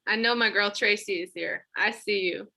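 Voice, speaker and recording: Brisk female office organizer, bright forceful voice, sarcastic and businesslike, comedy web series. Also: medium pitch, creaky. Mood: brisk, sardonic. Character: brisk, sardonic female office meeting leader.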